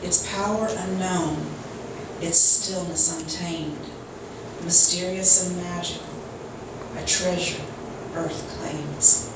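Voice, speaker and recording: Slow, lowish-voiced woman reciting in heavy echo. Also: steady tone. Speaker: congregation member reading poetry. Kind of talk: poetry recitation. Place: large echoey indoor room at a church service, mic at a distance. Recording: distant, very echoey, loud noise.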